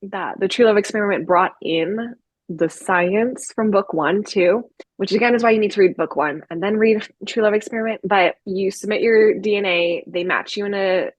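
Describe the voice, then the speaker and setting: Chatty woman talking books, slightly husky. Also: medium pitch, moderate pace, no echo. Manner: chatty, enthusiastic, frequent "like". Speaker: book review show co-host. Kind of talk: casual book discussion.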